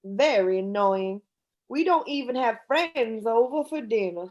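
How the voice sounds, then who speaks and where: A complaining, materialistic housewife acted by a woman in a radio play. Her voice is fairly high and very lively in pitch, though she takes her time over the words. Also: measured pace. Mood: annoyed. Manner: complaining, animated. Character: petulant, materialistic wife of a haunted house. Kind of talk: amateur radio play acting.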